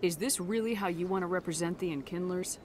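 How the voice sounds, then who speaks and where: A woman's voice: on the low side, fast, noisy background, lightly acted. A woman playing a composed, assertive military hero in a video game, speaking firmly and directly. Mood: diplomatic. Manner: direct, firm. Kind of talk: video game character acting. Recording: game music behind the voice.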